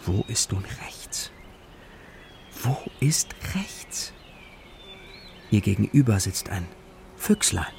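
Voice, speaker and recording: A man narrating a children's story from a script in short, dramatic phrases, with a creaky voice. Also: on the low side, background noise.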